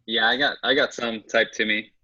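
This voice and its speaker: Bright-toned man, dull lo-fi audio. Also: on the low side, steady tone, normal speed. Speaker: moderator reading questions. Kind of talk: casual webinar chat.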